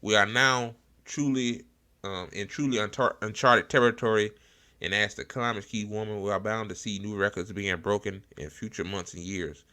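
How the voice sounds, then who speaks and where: A man hosting an online talk show, reading news articles aloud haltingly with stumbles and repeats and adding informal, salty asides. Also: lowish voice, steady tone, pushed and bright.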